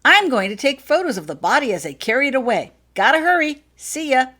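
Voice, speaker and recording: A woman voicing an eager, morbidly excited young boy who shouts in short bursts in an audiobook story. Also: high-pitched, brisk, voice pushed up, full character voice. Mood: excited, morbid glee.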